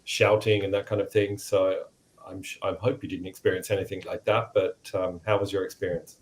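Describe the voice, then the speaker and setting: A man co-hosting a relaxed conversation, asking questions in a conversational interviewing style. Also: sits low, fairly quick, a little hiss or hum. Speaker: podcast co-host.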